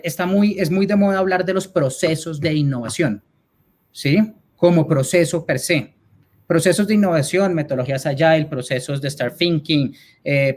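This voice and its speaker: A man's voice: on the high side, darkish tone. A man from Colombia with a Colombian accent, answering questions as a guest speaker in an explanatory way, often checking that he is followed. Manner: explanatory, frequent check-in tags. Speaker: advertising executive, guest speaker. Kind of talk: answering audience questions in an online talk.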